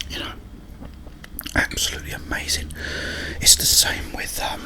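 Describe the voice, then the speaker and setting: A man speaks entirely in a breathy, unvoiced whisper. He takes his time, rambling in ASMR style with halting phrases and long pauses between thoughts. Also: low-quality recording. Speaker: ASMR creator. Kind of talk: whispered ASMR ramble about video games.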